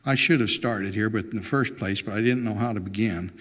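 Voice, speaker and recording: A man's voice: on the low side, fairly quick, fairly bright tone, long reverb tail. A man voicing an earnest, morally insistent wife in a storybook reading, impassioned and pleading with her husband.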